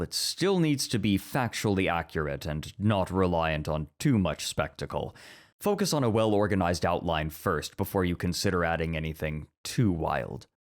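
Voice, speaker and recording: A man acting in an ASMR roleplay as a strict, orderly academic teacher who is easily flustered, speaking in formal, measured lectures. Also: sits low.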